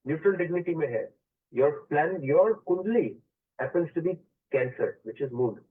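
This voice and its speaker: A man's voice: a little high, animated, normal speed, breathy edge. A male radio astrology host taking a caller, explaining the chart and switching between English and Hindi phrases. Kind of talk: call-in radio consultation. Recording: tinny phone-line sound.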